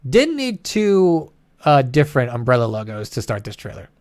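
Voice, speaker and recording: A man's voice: mid-range voice, wildly swooping pitch, moderate pace, a little mellow, no room sound, studio quality. A man co-hosting a gaming show with casual, incredulous, sarcastic commentary on movie trailers, swearing freely.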